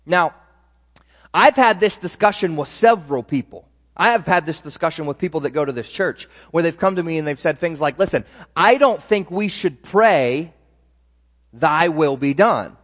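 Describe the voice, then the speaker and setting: A man's voice: fairly high pitch, animated, moderate pace, a bit bright, long reverb tail. A man preaching a sermon as pastor, talking in a conversational way with frequent restarts and asides.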